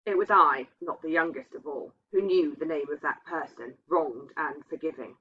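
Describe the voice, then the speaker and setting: Husky woman reading a story aloud. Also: deep voice, moderate pace. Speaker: reader of a ghost story. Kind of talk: expressive literary story reading. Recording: dull, muffled lo-fi sound.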